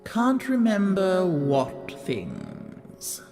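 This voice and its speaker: A stern storybook caterpillar, put on by a man, drawls each curt word very slowly in a gravelly, raised voice. His contemptuous remarks hang in a long, echoing reverb over faint music. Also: high-pitched, huge pitch swings, a little mellow, voice pushed up, full character voice. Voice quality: slow, creaky drawl. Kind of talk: audiobook character voice.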